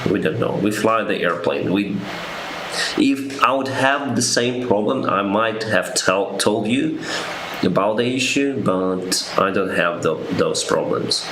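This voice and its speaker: A young man, an airline pilot answering viewer questions on a live stream, reading each one out and replying with frequent pauses and ums. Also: on the low side. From Ukraine. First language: Russian. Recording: echoey room with background noise.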